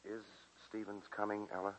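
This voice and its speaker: An actor playing a folksy, loyal, plain-spoken small-town suitor in a 1940s radio drama. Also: male voice, fairly low pitch, little pitch movement, normal speed, a little hiss or hum, close to an everyday voice. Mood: devoted, subdued. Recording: muffled vintage 1940s radio broadcast.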